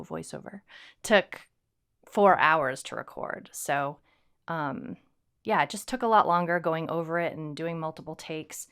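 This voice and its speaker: A woman's voice: low-pitched, a bit bright, smooth. A woman co-hosting a podcast in a casual, chatty style. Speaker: podcast co-host. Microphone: podcast microphone on a boom arm.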